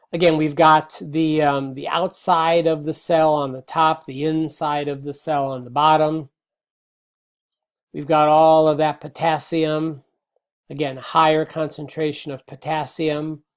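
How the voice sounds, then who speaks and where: A middle-aged male biology teacher giving a recorded slide lecture, slightly hoarse, relaxed and explanatory with many fillers and restarts. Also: a little high. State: slightly hoarse, clearing throat.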